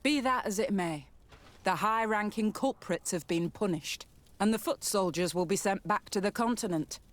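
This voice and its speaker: A woman's voice: medium pitch, normal speed, lightly acted. An actress playing a firm, formal female guard commander in a video game.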